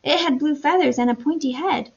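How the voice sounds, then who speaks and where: A woman voicing a curious, excitable young boy from a picture book, full of wonder and exclamation. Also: high voice, a little fast, lo-fi sound, voice pushed up. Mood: observant.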